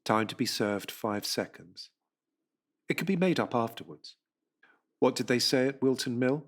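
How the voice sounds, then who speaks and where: A man's voice: mid-range voice, lively intonation, moderate pace. A man giving scripted dramatic narration in an intense, rhythmic way. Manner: intense, rhythmic, second-person at times.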